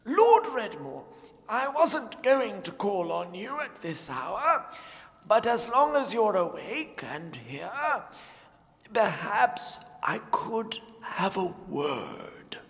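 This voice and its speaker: In a long, reverberant hall, a man acts out an aged high priest of a fantasy radio play. He is grave and formal, drawing each phrase out extremely slowly in a gravelly creak. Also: big pitch swings, fairly bright tone, full character voice. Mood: courteous, grave. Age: older. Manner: measured, ponderous, formal. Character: slow, deliberate old high priest. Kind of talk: fantasy audio drama acting.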